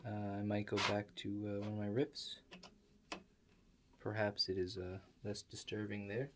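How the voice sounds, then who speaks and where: A man performing an improvised ASMR roleplay monologue in a halting, hesitant, deadpan manner. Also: on the low side. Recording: clear background noise.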